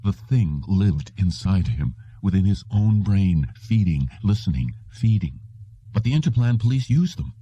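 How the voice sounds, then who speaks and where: Male narrator for literary fiction, low-pitched and extremely mellow, dry sound. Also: lively intonation, measured pace, slightly rough, no room sound, lo-fi sound. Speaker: audiobook narrator.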